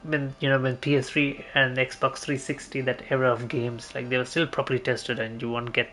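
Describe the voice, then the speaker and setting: A man's voice: mid-range voice, flat delivery, normal speed, sharp tone. A man giving casual gameplay commentary as a streamer, rambling and hesitant, often repeating himself. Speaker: game streamer.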